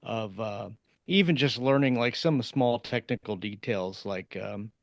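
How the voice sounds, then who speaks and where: Low-voiced man, dry close sound. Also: measured pace, no room sound. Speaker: podcast co-host. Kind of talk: casual chat.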